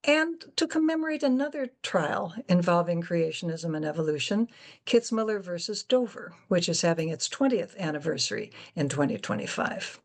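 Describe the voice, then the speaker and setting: A woman's voice: fairly low pitch, big pitch swings, normal speed. An older woman acting as moderator, introducing and interviewing a guest.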